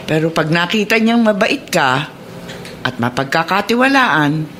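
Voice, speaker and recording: Radio drama grandmother: elderly Filipino woman, matronly, animated intonation, slight noise behind. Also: fairly low pitch, measured pace. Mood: reassuring. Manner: matronly, questioning, advising. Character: plainspoken, kindly elderly grandmother, gently admonishing.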